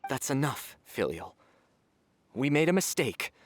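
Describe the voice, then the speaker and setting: A man voice actor playing a resigned, remorseful young man in a video game, speaking in a solemn, halting, sincere way. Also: mid-range voice, very animated, dry recording.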